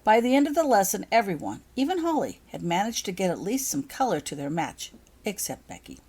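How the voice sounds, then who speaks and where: A woman reading a story aloud as scripted audiobook narration, in an expressive way. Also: mid-range voice, fairly quick, husky. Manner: expressive, acts out dialogue. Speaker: author reading her own fan fiction.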